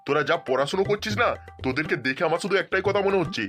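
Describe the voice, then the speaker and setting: An older male cartoon schoolteacher acted by a man rattles off sarcastic scoldings at a quick clip. He is fed up and repeats himself, with faint music underneath. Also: fairly high pitch, a bit bright, lightly acted. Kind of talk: comedy cartoon voice acting.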